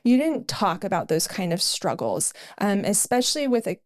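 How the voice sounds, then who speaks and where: A woman's voice: medium pitch, lively intonation, normal speed. A middle-aged woman co-hosting a podcast, telling her personal testimony in an earnest storytelling way with frequent fillers. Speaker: podcast co-host.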